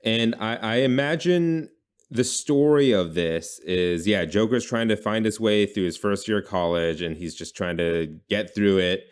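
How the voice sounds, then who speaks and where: A man's voice: fairly low pitch, unhurried, smooth. A man hosting a casual brainstorming chat, informal and often correcting himself as he thinks aloud. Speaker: podcast host pitching game ideas.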